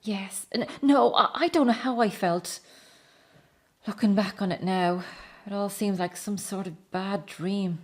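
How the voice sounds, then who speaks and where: A middle-aged woman acting in a radio drama as a remorseful, hurt estranged wife and mother, speaking in an emotional, halting, confessional way. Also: medium pitch, close to an everyday voice. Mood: pained, confessional.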